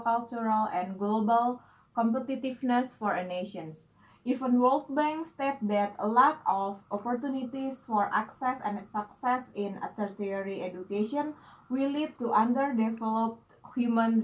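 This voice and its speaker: A woman's voice: a little high, rough recording. Indonesian-native woman presenting her research. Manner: careful, listing points one by one with fillers. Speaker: PhD student. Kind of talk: academic presentation of a research proposal.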